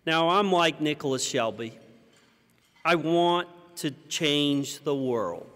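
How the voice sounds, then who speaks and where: American guy at a conference podium, slightly high voice, measured speechmaking with pauses, big echoey hall. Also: animated, a bit bright. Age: 50s. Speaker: keynote speaker. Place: large conference hall stage, indoors. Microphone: podium microphone. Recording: reverberant hall sound.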